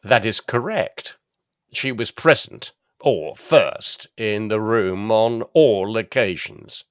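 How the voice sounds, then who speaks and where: An actor playing a dogged, plain-spoken police detective in a gothic audio drama, formal and deferential. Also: male voice, on the low side, expressive, slow pace, no room sound, clean studio sound. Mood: earnest, suspicious.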